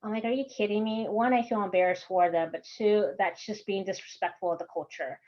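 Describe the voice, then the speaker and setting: A woman's voice: medium pitch, brisk, a little mellow. A woman with a Texan accent leading an online workshop in a chatty, friendly way, laughing often. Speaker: course instructor. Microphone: video call. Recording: dull video call audio.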